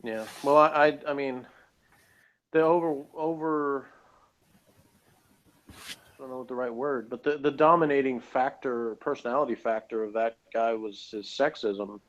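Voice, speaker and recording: Guy co-hosting a review chat, halting and argumentative, average pitch, kinda muffled lo-fi audio. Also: steady tone. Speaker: podcast co-host reviewing TV episodes. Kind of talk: casual discussion. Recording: dull lo-fi audio.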